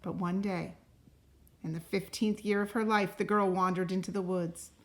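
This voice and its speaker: A woman reading a storybook aloud to a class as a teacher, in a deliberate, expressive way. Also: medium pitch. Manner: deliberate and expressive, pausing to question listeners.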